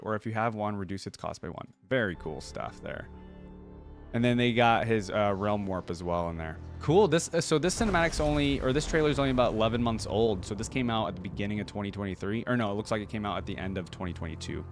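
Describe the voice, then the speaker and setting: A man's voice: on the low side, quick pace. A man hosting a reaction video, talking informally and thinking aloud as he comments and reads bits off the screen. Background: music from the video being watched.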